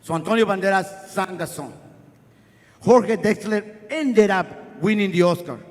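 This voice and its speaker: A middle-aged man with a Spanish accent and a notably high voice tells a personal story to a live audience. He is earnest and wry, pressing ahead quickly, then slowing down to let a line land. The hall around him rings with a long reverb. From Guatemala. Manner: earnest, wry, speeds up and slows down for effect. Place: festival stage before an audience.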